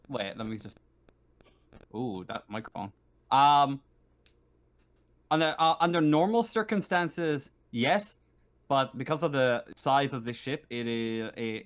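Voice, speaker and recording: Guy game master, average pitch, halting improvised narration, repeats himself a lot. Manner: hesitant, stumbling, repeating words.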